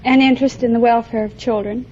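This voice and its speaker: A woman with a fairly high voice answers briefly and formally, like a no-nonsense contestant. The vintage radio recording is muffled with faint noise. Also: steady tone, fast talker, a little mellow. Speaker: quiz show contestant.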